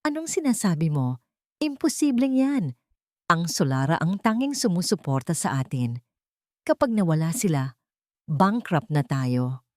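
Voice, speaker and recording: A woman's voice: fairly low pitch, sing-song, unhurried, pauses often, darkish tone, no echo, studio quality. A woman voicing a contemptuous husband in an audio drama, speaking in a blunt, accusing manner. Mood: shocked disbelief. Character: cold, contemptuous husband, an adult man, easily shaken.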